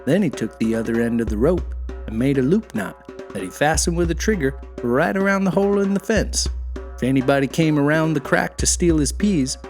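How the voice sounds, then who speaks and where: A man telling a folktale as an expressive, folksy scripted narration. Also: on the high side, fairly quick, soft background music. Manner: folksy, animated. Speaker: storyteller.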